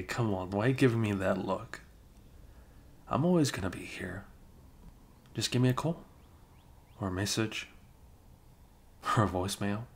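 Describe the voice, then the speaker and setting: A man playing a flustered, caring young male best friend with a crush, speaking haltingly in an improvised ASMR roleplay. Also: lowish voice, creaky. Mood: gentle, caring. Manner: halting, bashful, chuckling, casual bro talk.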